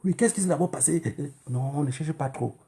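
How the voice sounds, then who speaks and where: A man's voice: fairly high pitch, a little fast, gravelly, warm tone. A man with a Cameroonian accent addressing viewers in a political livestream monologue, emphatic and admonishing, repeating phrases and throwing in rhetorical questions. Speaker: online activist livestreamer.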